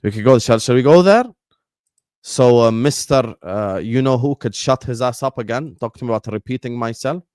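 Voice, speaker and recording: A man's voice: mid-range voice, normal speed, darkish tone, clear, resonant tone, no room sound, studio quality. A man from Jordan whose first language is Arabic, hosting a football live stream in a combative, repetitive way. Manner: combative, repeats phrases, fillers like "you get me". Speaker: football channel host.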